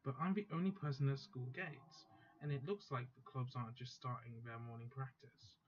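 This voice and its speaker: A man's voice: mid-range voice, fairly even intonation, moderate pace, a little hiss or hum, natural voice. A man reading aloud the first-person narration of a proud teenage girl magus from a visual novel, in a plain voice that stumbles and corrects itself. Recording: dull lo-fi sound, faint music.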